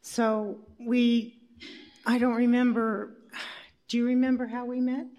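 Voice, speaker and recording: A woman's voice: fairly high pitch, little pitch movement, a little slow, dark tone, slight background noise. A woman who works as a local reporter, speaking informally in tribute in a conversational, searching way.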